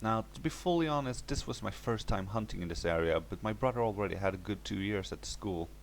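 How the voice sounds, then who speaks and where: A man's voice: on the low side, lively intonation, fast, gravelly, slight background noise. A man reading a scripted first-person horror story as its narrator.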